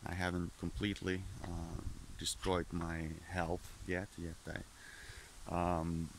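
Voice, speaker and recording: A man with a low, rough, fry-laden voice talks straight to the camera in a loose, profane monologue. He keeps stopping, restarting and wandering off track, and English is not his first language, since he grew up speaking Finnish. A light hiss of background noise sits under his voice. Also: monotone, slow pace, rough recording. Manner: candid, profane, meandering with many restarts. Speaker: vlogger.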